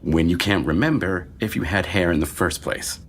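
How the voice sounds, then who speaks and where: A man playing a sardonic doctor in his fifties, deadpan and wry, in a screenplay reading. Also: moderate pace, lightly acted. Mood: dry, explaining. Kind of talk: screenplay table read. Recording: faint low rumbling noise.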